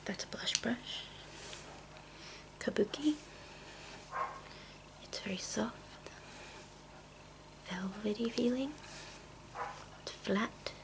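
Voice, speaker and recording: Woman doing asmr, breathy whispering, really slow, repeats little words softly. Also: fairly high pitch, low-quality recording. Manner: slow, repeats soft words as trigger sounds. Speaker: ASMR video creator. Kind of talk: ASMR, softly narrating personal makeup items.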